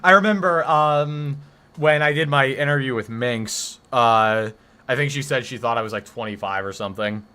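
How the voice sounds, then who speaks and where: A deep-voiced man of twenty hosting a casual live stream, answering his chat with rambling, joking banter and mock defensiveness. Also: moderate pace, pushed and bright, smooth. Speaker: livestream host.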